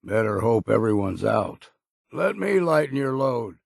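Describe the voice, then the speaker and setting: Game companion: weathered old seaman, gruff and folksy, heavy gravel, unhurried delivery with plain rustic phrasing. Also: mid-range voice, sing-song, no echo, studio quality, full character voice. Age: older. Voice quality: gravelly, raspy. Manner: folksy, rustic turns of phrase, dropped grammar. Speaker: video game companion character. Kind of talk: video game voice lines.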